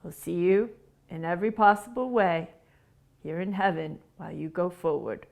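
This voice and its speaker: A woman voicing a gentle heavenly father, speaking softly and solemnly. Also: on the low side, moderate pace, vocal fry, pitched below the performer's own voice. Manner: soft, blessing, solemn.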